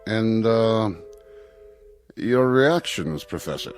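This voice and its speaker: An actor playing a formal, awkwardly tactful school headmaster in a radio drama, with a halting, stiffly polite delivery. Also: male voice, fairly low pitch, animated, faint music behind. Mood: tactful, businesslike.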